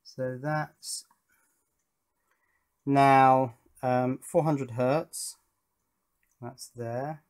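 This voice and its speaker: A man's voice: mid-range voice, steady tone, extremely slow, pauses often, clear, resonant tone. Man thinking aloud at a workbench. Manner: thinking aloud, asking himself tag questions. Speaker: electronics hobbyist presenter. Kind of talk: casual workbench narration to viewers.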